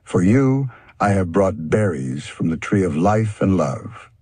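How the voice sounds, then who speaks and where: A grateful young hound from a storybook, played by a man. He speaks earnestly and courteously in a very raspy, closely recorded dry voice. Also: deep voice, very animated, unhurried, darkish tone, no echo, heavily acted.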